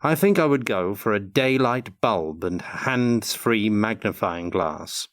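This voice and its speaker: An older man giving measured, articulate answers as an interview guest in a prepared Q&A. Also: fairly low pitch, animated. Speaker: interview guest, tabletop game designer.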